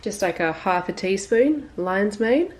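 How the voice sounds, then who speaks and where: A woman, a little croaky from illness, narrating her daily routine in a soft, unhurried, reflective way. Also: medium pitch, animated, noisy background. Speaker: lifestyle vlogger. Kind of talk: casual voice-over narration. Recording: voice-over with loud background music.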